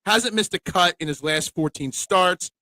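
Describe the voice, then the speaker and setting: A man's voice: high-pitched, unhurried, sharp tone, dry recording. A man hosting a casual sports betting preview show, chatting with his co-hosts.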